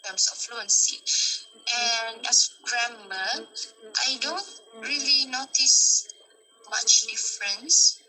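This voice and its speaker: Slow, bright-voiced hesitant woman. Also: a little high, soft background music, rough recording. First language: Dusun. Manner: hesitant, searching for words, restarts. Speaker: interviewee. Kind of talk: answering interview questions.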